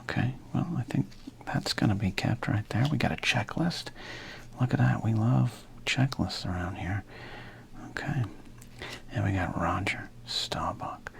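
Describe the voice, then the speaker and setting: A man's voice: on the low side, measured pace, vocal fry. A man casually commenting while opening packs of trading cards, with wry jokes and half-whispered asides. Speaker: card collector opening packs.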